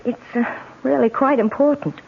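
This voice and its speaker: Anxious young wife, tinny old radio. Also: female voice, high-pitched, fairly even intonation, normal speed, vocal fry, slight background noise. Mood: earnest. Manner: hesitant, earnest, breaks off. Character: anxious, fretful young wife, genteel and hesitant. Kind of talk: radio drama acting.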